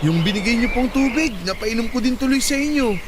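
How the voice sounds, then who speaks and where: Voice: medium pitch, animated, fast talker, noisy background, natural voice. An actor playing a friendly, polite young male motorcycle taxi rider in a dramatized audio love story, concerned and teasing. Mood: polite, joking.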